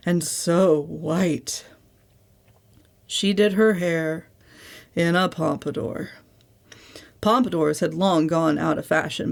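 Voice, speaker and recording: A woman narrating a literary story as a dramatic reading, with expressive, deliberate, theatrical phrasing. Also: lowish voice, gravelly. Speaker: audiobook narrator.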